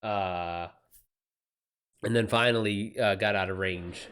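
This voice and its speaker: A man livestreaming in a casual chat style, talking informally and slangily with animated reactions to his viewers. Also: on the low side, slow, slight background noise. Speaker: live streamer and author answering viewer questions.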